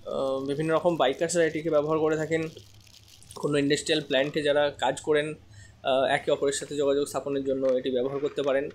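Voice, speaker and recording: A man giving a casual product demonstration as a seller, explaining step by step with frequent hesitant pauses. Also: fairly high pitch, flat delivery.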